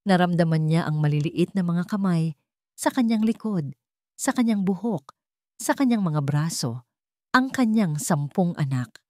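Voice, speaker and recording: A woman with a Filipino accent narrates a scripted story in a measured, dramatic way, in short phrases. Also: lowish voice, expressive, a little mellow, dry recording, studio quality.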